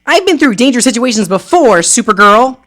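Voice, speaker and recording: A man voicing a brave young superheroine, loud and emphatic, in a dramatic comic reading. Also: very high voice, very animated, a little fast, voice pushed up, exaggerated voice. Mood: commanding.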